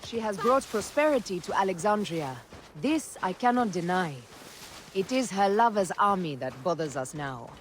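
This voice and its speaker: A woman playing a stern, resolute assassin of ancient Egypt in a video game, speaking in a measured, serious way. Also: medium pitch, expressive, slight background noise, natural voice. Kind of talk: dramatic video game acting. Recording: loud music over the dialogue.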